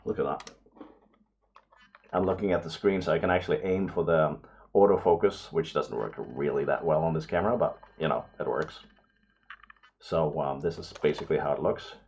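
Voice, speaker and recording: A middle-aged man talking casually and excitedly as he hosts an unboxing video, rambling along with frequent exclamations. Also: low-pitched. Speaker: video host.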